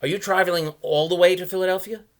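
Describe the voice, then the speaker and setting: A man's voice: high voice, steady tone, quick pace, pitched above the performer's own voice, close to an everyday voice. A man voicing a polite, awkward, earnest male character who speaks in a hesitant, courteous way. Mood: flustered.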